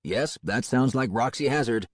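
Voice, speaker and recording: A man reading an audiobook, voicing a mysterious man who sounds professionally warm and confident, like a cold-calling salesman. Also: mid-range voice, moderate pace, no room sound, clean studio sound, pitched above the performer's own voice, natural voice. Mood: smooth.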